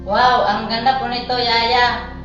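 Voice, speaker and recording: A woman's voice: a little high, level tone, takes it slowly, fairly bright tone, soft background music. A woman in an amateur radio drama playing a polite, eager little boy. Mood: eager, obedient. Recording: very echoey, dull lo-fi recording.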